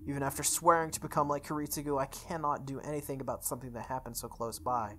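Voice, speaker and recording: A young man reading a visual novel aloud as a let's play host, breaking into casual animated commentary. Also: medium pitch, fast, a little hiss or hum.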